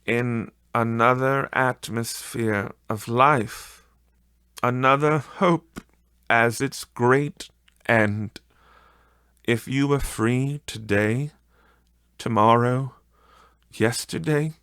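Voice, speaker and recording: A man voicing a gentle, sorrowful young Victorian woman in an audiobook, speaking in a deliberate, drawn-out way. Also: mid-range voice, clear, resonant tone, no room sound, clean studio sound, voice pushed up. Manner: soft, deliberate, drawn-out phrasing. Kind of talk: audiobook character voice.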